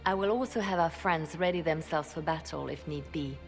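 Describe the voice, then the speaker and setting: A composed, devoted woman adviser in a fantasy game. She speaks formally and deliberately in a fairly low voice, over soft music. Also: gravelly. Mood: calm, wry. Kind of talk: dramatic fantasy game acting.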